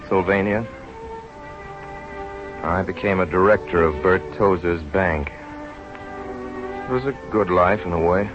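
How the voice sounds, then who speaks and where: An actor playing an earnest, troubled country doctor in an old radio drama. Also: male voice, lowish voice, close to an everyday voice. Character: earnest, troubled male country doctor narrating his own life. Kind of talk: 1930s-40s radio drama acting. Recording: muffled old radio recording, faint music.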